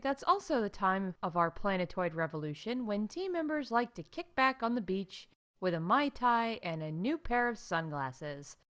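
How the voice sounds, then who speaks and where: A woman's voice: mid-range voice, a bit bright. A woman playing a chipper, glib theme park announcer reading comedic corporate updates in an audio drama.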